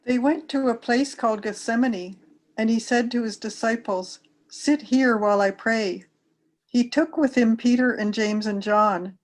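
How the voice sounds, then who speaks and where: A woman reading a scripture narrative aloud from a text, as a church member would for a service. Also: mid-range voice.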